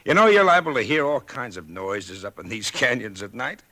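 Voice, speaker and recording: An actor playing an easygoing police officer who reassures people in a folksy, chuckling way, in a 1940s radio drama. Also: male voice, mid-range voice, big pitch swings, normal speed, pushed and bright, full character voice. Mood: reassuring.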